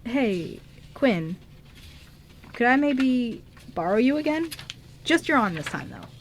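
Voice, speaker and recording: Kind young man ghost played by a woman, high-voiced, stammering and sincere, for a radio play. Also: big pitch swings, a little hiss or hum, full character voice. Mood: playful. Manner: halting, stammering, earnest. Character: kind, nervous young man ghost, earnest best friend. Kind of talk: amateur radio drama script reading.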